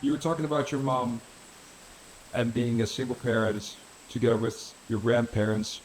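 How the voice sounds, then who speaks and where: A man's voice: mid-range voice, level tone, normal speed, slight background noise, low-quality recording. A man hosting an interview, asking brief, plain questions. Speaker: podcast host and interviewer.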